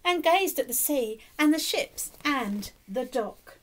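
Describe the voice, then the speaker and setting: Slow, lively woman reading a storybook. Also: high-pitched. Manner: animated, slow and deliberate, prompting young listeners. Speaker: teacher reading a picture book to young children. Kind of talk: expressive storybook read-aloud with questions to children. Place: indoors, small room with decorated wall.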